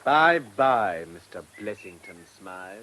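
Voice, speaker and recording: An actor playing an earnest, polite but exasperated village campaigner in a radio drama, well-spoken with an English accent. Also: male voice, on the low side, sing-song, slow, pushed and bright, slight background noise, exaggerated voice. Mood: resigned. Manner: well-spoken, courteous, indignant. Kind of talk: British radio drama acting.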